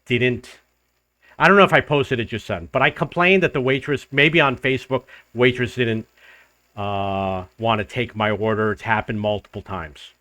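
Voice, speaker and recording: Older man, loud voice, mid-pitched, casual rambling storyteller, dead dry sound. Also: moderate pace, dry recording. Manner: rambling, restarts and loops back in the story. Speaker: vlogger living abroad.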